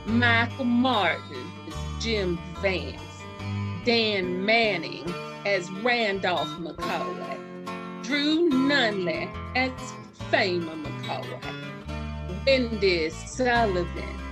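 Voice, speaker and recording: A woman gives scripted narration as the narrator of a radio drama. She speaks very slowly at a medium pitch. Plucked guitar music plays clearly behind her. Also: animated. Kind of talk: scripted narration, cast credits.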